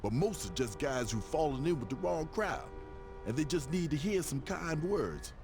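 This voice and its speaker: A man's voice: fairly high pitch, sing-song, normal speed, over music. An actor voicing an earnest, streetwise male hero and neighborhood protector in a video game cutscene.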